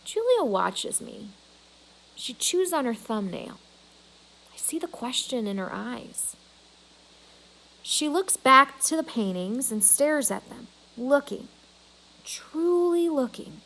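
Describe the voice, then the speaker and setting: Lady reading as a gorilla telling his own story, proud, deliberate and slow. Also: high voice, sing-song, gravelly, a bit bright, heavily acted, poor audio. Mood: watchful. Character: proud, grumbling male gorilla artist narrating his tale. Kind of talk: storybook narration in first person.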